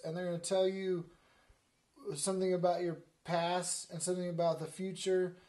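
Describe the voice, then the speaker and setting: A man voicing a commanding talking bush in a homespun Bible storytelling, loud and declamatory with grand announcements. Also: sits high, level tone, normal speed, voice pushed up, natural voice. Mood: proclaiming.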